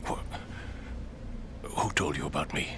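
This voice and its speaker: An actor playing a male covert agent in a video game, explaining secrets in an assured, measured manner. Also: very deep voice, noisy background. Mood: hushed, wary. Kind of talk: video game cutscene acting, expository.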